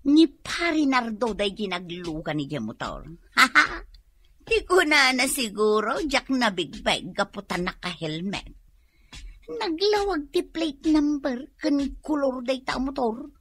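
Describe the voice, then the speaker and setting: An actress with an Ilocano accent playing a nosy, excitable married village gossip in a radio comedy drama, exclaiming and talking to herself. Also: female voice, sits high, takes it slowly, breathy edge, heavily acted. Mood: gleeful, gossipy.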